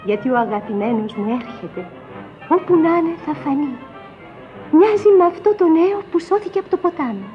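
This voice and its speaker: An actress playing a romantic young café waitress in a radio drama, dreamy, ardent and declarative. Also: female voice, sits high, expressive, faint music behind. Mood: ardent longing. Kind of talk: radio theatre monologue. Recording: dull old radio recording.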